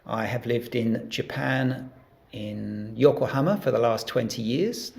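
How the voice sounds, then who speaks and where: Gravelly-voiced man from England. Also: medium pitch, a little slow, darkish tone. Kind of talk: casual personal account.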